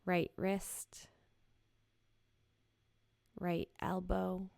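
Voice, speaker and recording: A woman guiding a yoga nidra body scan in a gentle, soothing, unhurried manner with short, spaced phrases. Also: lowish voice, completely flat delivery, vocal fry, no echo. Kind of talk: guided meditation, slow body scan.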